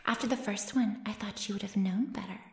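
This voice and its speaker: A woman's voice: mid-range voice, fairly even intonation, fairly quick, a little mellow, roomy sound, lightly acted. A woman voicing a sly, haughty flesh-eating female monster in an ASMR roleplay, mocking and mock-innocent as she savors her words. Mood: grudging, defensive. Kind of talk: ASMR roleplay audio drama.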